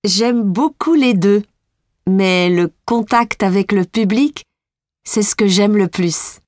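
A woman playing a slow-spoken young singer. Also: mid-range voice, darkish tone, no echo, studio quality, close to an everyday voice. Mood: friendly. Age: young adult. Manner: slow, clear, carefully articulated. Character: young female Belgian pop singer. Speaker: interviewee. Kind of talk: scripted exam dialogue.

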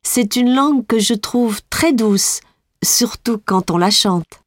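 Young woman pop singer played by an actress, higher voice, slow clear speech, dry close mic. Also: no room sound. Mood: friendly. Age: young adult. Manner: slow, clear, carefully articulated. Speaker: interviewee. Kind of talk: scripted exam dialogue.

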